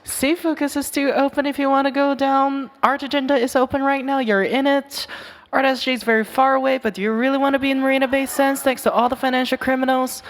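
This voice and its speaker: A woman's voice: sits high, level tone, fast. A woman moderating a panel talk, handing questions to speakers and closing with wry jokes. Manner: wry, joking asides.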